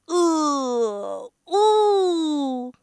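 A combative storybook bear, acted out by a woman, proud and blustering. The delivery is forceful and animated, bragging with a growly edge in a dry, close sound. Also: high voice, slow pace, dry recording, voice pushed up, full character voice. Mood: roaring.

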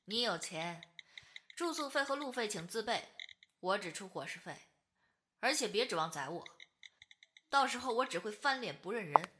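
A woman's voice: mid-range voice, expressive, normal speed, long pauses, noisy background, natural voice. A woman acting a strong-willed businessman in his early thirties in a Chinese radio drama. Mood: curt.

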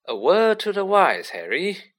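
A man's voice: fairly high pitch, very animated, slow pace, a bit bright, pitched above the performer's own voice, heavily acted. A man voicing a vain, jovial celebrity teacher, pompous, hearty and patronising, in a storybook reading. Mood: patronising, smug.

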